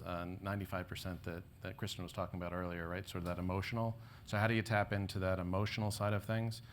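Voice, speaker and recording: A man speaking as a panelist, answering an audience question in a measured, explanatory way that often ends with "right?". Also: low voice, slight background noise.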